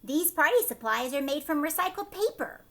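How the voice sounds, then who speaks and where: Storybook animal mayor, played by a woman: civic-minded, upbeat, proclaiming, emphatic, higher-pitched. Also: animated, normal speed, a bit bright, a little hiss or hum. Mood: rallying.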